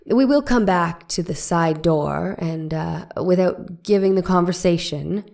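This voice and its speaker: A woman voices a courtroom lawyer from the 1890s, pressing a witness with leading questions. Her voice sits somewhat low. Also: a little slow, vocal fry, darkish tone. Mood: probing. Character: probing 1890s defense attorney. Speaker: cross-examining lawyer. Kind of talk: dramatic reading of a trial transcript.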